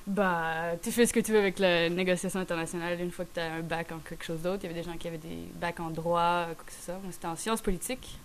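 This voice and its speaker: Young woman, Québécois accent, rambling casual chat, fillers, English words mixed in, fairly low voice, faint background noise. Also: fairly even intonation, fast talker, hardly any pauses. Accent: Québécois French. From France. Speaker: guest.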